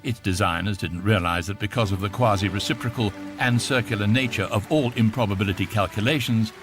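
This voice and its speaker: A man acting the urbane, authoritative voice of a galactic guidebook, narrating in a grand, droll, measured radio comedy style. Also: fairly low pitch, over music, natural voice. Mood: grandly droll. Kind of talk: scripted mock-documentary narration in radio comedy.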